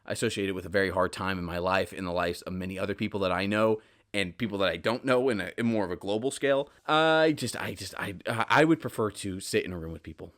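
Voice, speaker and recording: A man in his twenties hosting a casual solo podcast, rambling and stammering over repeats, swinging between loud bursts and quiet asides. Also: low-pitched, fast talker. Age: 20s. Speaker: podcast host.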